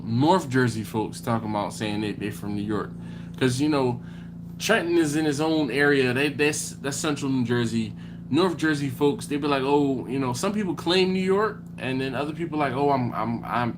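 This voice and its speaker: A man's voice: medium pitch, background noise. Philly man chatting on a livestream. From Philadelphia. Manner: animated, acts out back-and-forth dialogues. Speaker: host of a barbecue livestream. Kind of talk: casual livestream chat reading comments.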